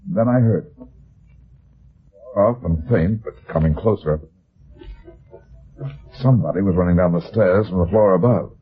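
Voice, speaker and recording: A man's voice: deep voice, a little hiss or hum. A man playing a wry, world-weary fat private detective in an old-style radio drama, with dry, clipped tough talk. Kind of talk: 1940s-style radio detective acting and narration. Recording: dull, lo-fi old radio recording.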